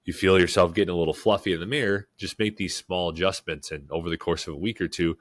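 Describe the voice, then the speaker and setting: A man's voice: low voice, quick pace. A young man co-hosting a casual podcast, nasal and stuffed up from a cold, talking loosely with lots of "like" and "right?". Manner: relaxed, repeats "like" and "right?" often. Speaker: podcast co-host.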